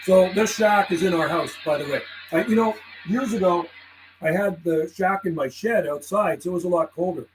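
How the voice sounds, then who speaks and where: A man's voice: high voice, moderate pace, music in the background, rough recording. A man chatting casually and making radio calls on a live stream, easygoing and friendly, often saying man and buddy. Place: radio room inside his house.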